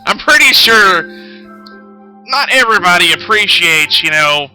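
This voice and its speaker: A man with a fairly high, strikingly bright and forceful voice streams a game and tells a story as he plays. He talks casually and wanders off track in an absent-minded way. Music plays clearly behind him. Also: lively intonation, unhurried, rough recording. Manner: rambling, absent-minded, often losing his thread. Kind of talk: casual live-stream storytelling while gaming. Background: background music.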